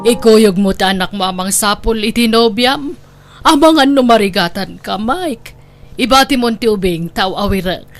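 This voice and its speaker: A woman's voice: mid-range voice, expressive, moderate pace, soft background music, natural voice. A woman with an Ilocano Filipino accent playing an older, motherly neighbour, earnest and advising, in audio drama style.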